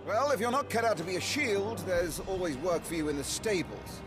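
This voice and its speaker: A raised-voice knight commander. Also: male voice, high voice, lively intonation, brisk. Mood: taunting. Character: tough, gruff armoured knight commander. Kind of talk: video game cutscene acting. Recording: loud orchestral game music behind.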